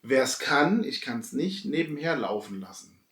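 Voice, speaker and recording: A man chats casually as a podcast co-host. His voice is creaky and gravelly, heard from a little way off in a very roomy space. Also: mid-range voice, lively intonation, measured pace, a bit bright. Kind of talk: casual chat. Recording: echoey room, distant from mic.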